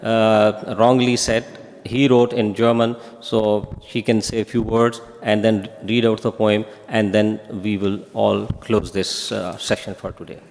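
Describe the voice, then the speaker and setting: A man's voice: on the low side, flat delivery, a little slow, gravelly, a little mellow. A man moderating an event, hesitantly introducing the next speaker with many "uh"s. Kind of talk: announcing speakers. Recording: long hall reverb.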